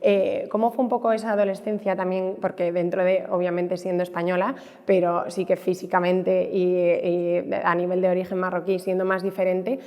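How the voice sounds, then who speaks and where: A woman's voice: fairly low pitch, little pitch movement, normal speed, darkish tone. A woman with a Spanish accent from Spain, hosting a casual, conversational interview as a podcast host. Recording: echoey room with long reverb.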